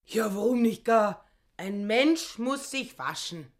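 An actress playing a grasping, indignant married housekeeper in a radio drama, complaining and scolding in folksy phrases. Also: female voice, on the high side, expressive, slow.

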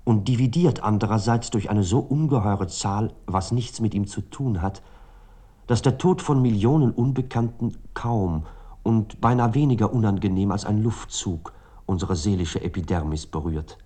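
An actor playing a reflective, ironic literary narrator in a radio play, speaking in measured, articulate, long sentences. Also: male voice, on the low side, mellow, slightly rough. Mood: measured, gently ironic.

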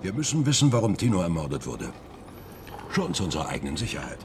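A man's voice: low voice, huge pitch swings, fast, background noise, heavily acted. An actor playing a persuasive, defiant and theatrical man in a radio drama, speaking with insistent rhetoric. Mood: urgent, persuasive.

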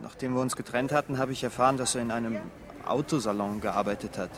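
A man's voice: mid-range voice, fairly even intonation, fast, a crowd in the background, lightly acted. An actor playing a desperate, brooding poor young man, telling his life in an intense, literary audio drama style. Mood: guarded. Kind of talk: first-person audio drama narration and dialogue.

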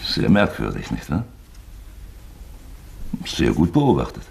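An actor playing a sly, falsely genial investigator in a German radio play, mock-forgetful and probing with creaky politeness. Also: male voice, a bass voice, wildly swooping pitch, slow, darkish tone, a little hiss or hum, full character voice. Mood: feigned geniality, probing.

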